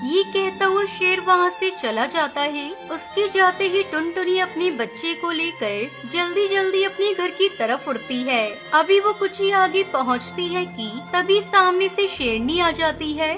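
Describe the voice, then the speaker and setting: A woman's voice: very high-pitched, expressive, unhurried, hardly any pauses. A woman reading scripted narration of a children's story as its storyteller. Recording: clear music bed under narration. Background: music.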